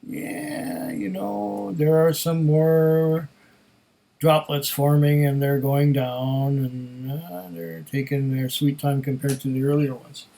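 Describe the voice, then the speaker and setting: Slightly hoarse, higher-pitched man musing unhurriedly. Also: steady tone, warm tone, poor audio. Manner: musing, unhurried, savoring, talks to himself. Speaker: whisky reviewer. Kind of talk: casual solo tasting review.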